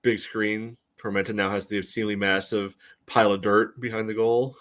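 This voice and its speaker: A man with a fairly low voice talks as a sports podcast co-host. His analysis is casual and conversational, and he qualifies his points as he goes. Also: little pitch movement, brisk, a bit bright.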